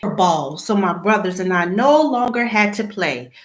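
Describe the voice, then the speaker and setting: Woman, children's story read-aloud, expressive phrasing, mid-pitched voice, echoey room. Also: unhurried. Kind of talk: reading a children's picture book aloud.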